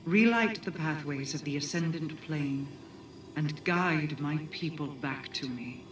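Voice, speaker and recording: A woman's voice: sits low, a little hiss or hum. Casting: composed otherworldly game queen, formal and calculating, heard echoey and set back over music. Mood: grave, measured. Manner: formal, measured, commanding. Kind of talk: video game cutscene dialogue. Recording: echoey, distant, with music behind.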